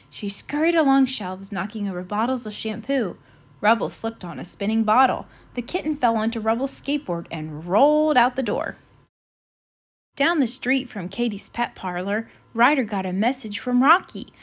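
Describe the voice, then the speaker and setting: A woman reading a children's storybook aloud in an expressive style. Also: mid-range voice. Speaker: children's book reader.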